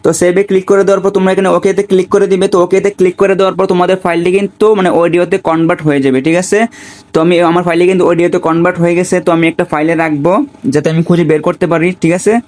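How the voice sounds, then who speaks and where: A man's voice: high voice, level tone, rapid-fire, darkish tone. A man giving an informal step-by-step tutorial, often checking in with repeated tags. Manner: repetitive, with frequent check-in tags. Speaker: gaming tutorial creator.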